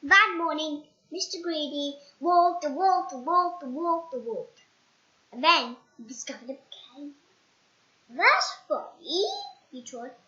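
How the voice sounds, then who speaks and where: A child reading a picture book aloud in a halting way, stumbling and correcting words. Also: high voice, pushed and bright. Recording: roomy echo, dull lo-fi sound.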